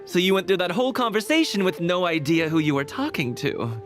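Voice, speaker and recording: An actor playing a talkative, shrewd and neighborly merchant in a video game, speaking in a folksy, earnest way. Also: male voice, sits high, big pitch swings, fairly quick, faint music behind. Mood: puzzled, chatty. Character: chatty, shrewd, neighborly male merchant shopkeeper.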